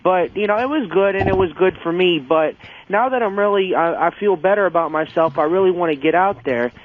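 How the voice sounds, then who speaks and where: A young man in his twenties calls in to a radio show with a high voice. He speaks casually and openly, hesitating and rambling as he works toward his question. The sound is slightly lo-fi. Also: brisk. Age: 20s. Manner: hesitant, rambling, unsure of himself. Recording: dull, lo-fi radio call-in audio.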